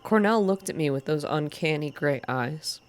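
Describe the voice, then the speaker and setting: Low-pitched woman reading a story, ASMR style. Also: expressive, normal speed, a little hiss or hum. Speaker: reader of a visual novel game. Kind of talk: soft ASMR reading, scripted story narration with voiced dialogue.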